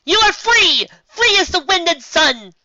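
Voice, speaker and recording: Young unicorn mare, read by a narrator, high, loud and thrilled, dry dull recording. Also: measured pace, no echo, full character voice. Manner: gushing and shouting with excitement. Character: excitable young female unicorn pony.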